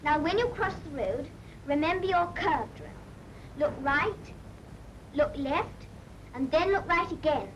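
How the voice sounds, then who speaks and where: A woman's voice: high voice, animated, measured pace, pauses often. A woman playing a didactic road safety instructor in a 1940s information film, speaking like a schoolmistress. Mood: instructive, encouraging. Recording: noisy 1940s film soundtrack.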